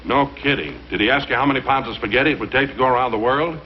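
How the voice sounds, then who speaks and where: An actor playing an eager, hurried sidekick in 1950s radio comedy. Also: male voice, medium pitch, close to an everyday voice. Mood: teasing. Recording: muffled, noisy old radio, loud audience.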